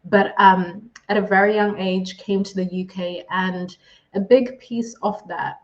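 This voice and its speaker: A woman's voice: medium pitch, steady tone, measured pace, lo-fi sound. A woman from Zimbabwe whose first language is Shona, talking as a podcast guest in an earnest, reflective way. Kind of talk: podcast interview.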